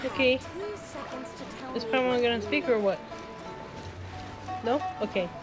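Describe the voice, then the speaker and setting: A woman's voice: fairly high pitch, measured pace. A young woman giving casual live commentary as she streams a video game. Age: young adult. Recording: loud game music behind. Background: video game music.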